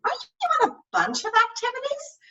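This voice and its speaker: Woman acting out a teenage girl, eager, persuasive, gushing, highly animated pitch, storybook reading, distant dull recording. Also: fairly quick, heavily acted. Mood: coaxing. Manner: pleading, gushing, sing-song at times.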